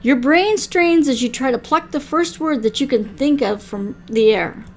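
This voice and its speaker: Older female gaming host and story reader: high-pitched, slow, casual, with light music under the voice. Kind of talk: casual commentary and read-aloud narration of a visual novel.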